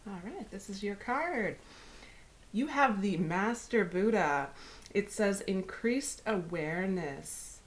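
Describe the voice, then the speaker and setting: A woman with a head cold and a slightly raspy throat, hosting and talking through a weekly tarot card reading in a soft, unhurried way. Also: mid-range voice, expressive. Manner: soft, unhurried, with whispered invocations. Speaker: tarot reader.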